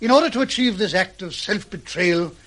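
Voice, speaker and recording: A man's voice: sits high, animated, fairly quick, a bit bright. A man playing a pompous, self-assured doctor detective in an old-fashioned radio mystery, speaking in precise, formal, pedantic phrases. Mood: smug.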